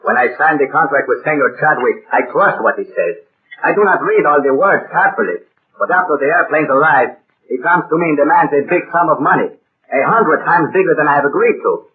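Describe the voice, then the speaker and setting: An actor playing a proud, sorrowful old rancher with a Spanish accent and formal, broken English in a 1930s radio drama. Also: male voice, a little high, expressive, a little fast, lightly acted. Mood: confiding. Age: older. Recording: tinny, band-limited 1930s radio recording.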